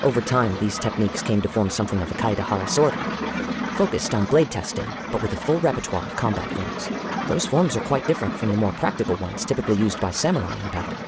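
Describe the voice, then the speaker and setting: A man playing a reserved young samurai wanderer in a video game, speaking formally and reflectively over loud noise. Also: on the low side, expressive, quick pace, over loud music, poor audio. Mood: puzzled, serious. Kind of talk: video game character acting.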